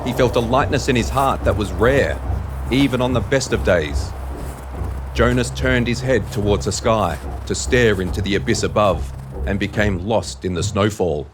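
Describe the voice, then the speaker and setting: A man's voice: on the low side, normal speed, noisy background. A man reading scripted narration for an audio drama.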